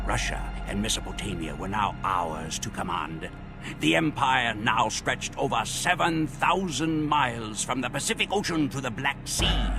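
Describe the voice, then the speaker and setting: Gravelly, solemn Mongol warrior storyteller. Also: male voice, medium pitch. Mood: proud, grandiose. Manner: measured, theatrical storytelling delivery. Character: proud Mongol warrior recounting conquests, solemn and grandiose. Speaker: game campaign narrator. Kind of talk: dramatic scripted narration.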